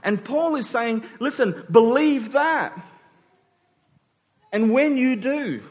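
A man preaching a sermon in an earnest, emphatic way, repeating phrases and pausing deliberately. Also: very high voice, expressive. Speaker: pastor preaching to his congregation. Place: church hall during a service. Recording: reverberant hall sound.

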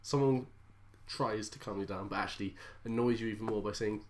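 A British man co-hosting a podcast in casual chat, wry and quick to laugh. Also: fairly low pitch, steady tone, a little hiss or hum. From Britain. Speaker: podcast co-host.

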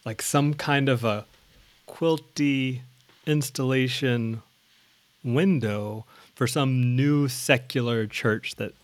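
A man hosting a casual conversation, speaking in a relaxed, easygoing and fluent way. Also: medium pitch, takes it slowly, dry recording.

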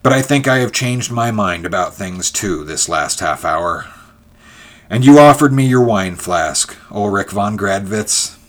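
A man's voice: lowish voice, animated, a little slow. A man voicing an embittered, impatient feudist in a dramatic storybook reading. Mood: earnest. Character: George, embittered male feudist turned grudging friend, impatient.

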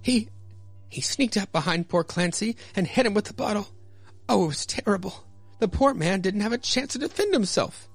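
A young woman in distress , played by a man in a raised voice, pleads and laments. The delivery is shrill, deceitful and melodramatic, like a horror radio play. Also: animated, moderate pace, no echo, soft background music. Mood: feigned horror.

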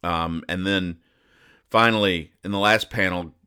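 A man's voice: deep voice, fairly even intonation, unhurried, sharp tone, clean tone. A man hosting a podcast, explaining comic strips in a conversational, mock-serious, pedantic way with frequent digressions. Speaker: podcast host.

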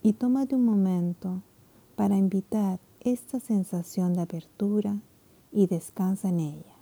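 A woman leading a guided meditation, speaking softly and soothingly, her phrases often trailing into whispers. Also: medium pitch, unhurried.

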